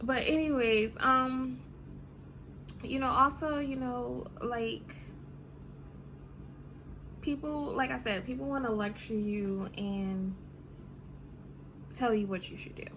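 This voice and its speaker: A woman in her thirties chats to her vlog audience in a loose, rambling way, full of "you know" and "um". Her voice sits on the higher side. A clear hum of background noise runs behind her. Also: takes it slowly, long pauses. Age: 30s. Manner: chatty, many fillers like "you know" and "um". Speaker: vlogger. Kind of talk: casual rant to camera.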